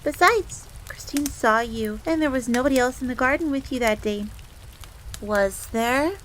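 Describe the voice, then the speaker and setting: A woman's voice: sits high, moderate pace, a bit bright, a little hiss or hum, low-quality recording. A woman voicing a jealous, easily flustered young fox maid in a roleplay audio drama, speaking formally and referring to herself in the third person, with rain behind her. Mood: suspicious, accusing. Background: rain on a surface.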